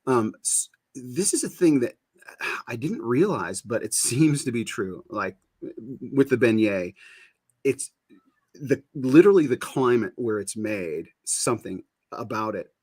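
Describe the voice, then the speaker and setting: A man's voice: medium pitch, expressive, measured pace, darkish tone, husky, dry recording. A middle-aged man hosting a casual chat show, rambling and cutting himself off, often laughing. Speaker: show host.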